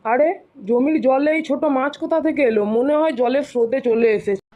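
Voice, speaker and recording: A woman's voice: high voice, brisk, warm tone, natural voice. A woman voicing a humble, hard-working poor farmer man in a cartoon, earnest and plain-spoken. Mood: wondering, resolved.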